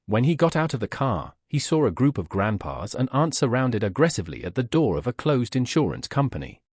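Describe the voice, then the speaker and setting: A man reading a story aloud as scripted narration, with a husky voice. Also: mid-range voice, big pitch swings, a little fast, darkish tone, no room sound, clean studio sound. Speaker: audiobook narrator.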